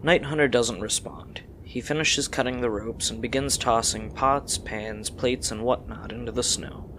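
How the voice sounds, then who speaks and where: A man's voice: medium pitch, fairly even intonation, normal speed, background noise. A man reading scripted fantasy story narration as the narrator of an audio drama.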